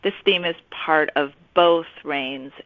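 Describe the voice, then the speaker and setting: A woman author explaining her work conversationally as an interview guest, pausing in mid-thought. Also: deep voice, dry recording. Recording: slightly dull, band-limited audio.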